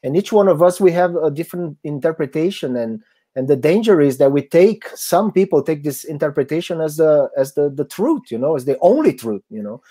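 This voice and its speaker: Mellow-voiced man reading viewer questions. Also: on the high side, lively intonation. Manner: reads viewer messages, quick agreeing interjections. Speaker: band guitarist and co-host. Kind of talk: live Q&A chat. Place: home room, indoors.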